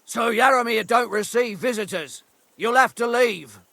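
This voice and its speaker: An actor playing a blunt, rustic servant guarding a gate in a medieval video game, calling out plainly. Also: male voice, very high voice, normal speed, creaky, sharp tone, dry recording, heavily acted. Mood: gruff, dismissive. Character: rough, uneducated male servant guarding a gate. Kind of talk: video game cutscene acting.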